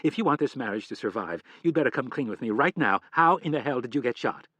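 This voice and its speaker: A man's voice: mid-range voice, animated, no echo, natural voice. Fierce angry wife, as a man puts her on. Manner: rapid, accusing questions. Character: fiery young wife, demanding and angry. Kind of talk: audiobook character reading.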